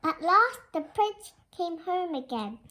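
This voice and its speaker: A child reading a fairy tale aloud in a careful, expressive storytelling manner, as a storybook narrator. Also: very high voice, slow, a little hiss or hum. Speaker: storybook reader.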